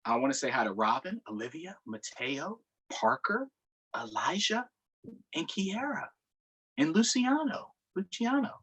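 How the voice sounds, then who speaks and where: A man's voice: high voice, big pitch swings, a little slow, fairly bright tone, breathy edge. An American man hosting a livestreamed storytime for children, talking casually in an upbeat, conversational way.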